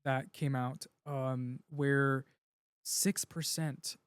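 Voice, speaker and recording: A man's voice: mid-range voice, steady tone, very slow, clear, resonant tone, dry recording. A young man from Puerto Rico, a native Spanish speaker, talking earnestly and from the heart as an interview guest, often restarting his sentences. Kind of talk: casual interview conversation.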